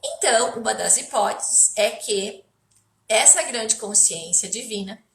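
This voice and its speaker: A Brazilian woman speaking as a therapist on a livestream, explaining spiritual self-help ideas in a halting way, with rhetorical questions and check-in tags. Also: fairly high pitch, expressive, roomy sound. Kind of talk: spiritual self-help talk to live viewers.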